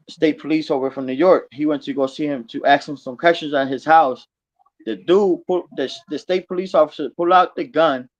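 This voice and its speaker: A man from Puerto Rico, a guest on a livestream panel, speaking haltingly and repeating his words. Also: a little high. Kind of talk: casual chat. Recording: dull lo-fi stream audio.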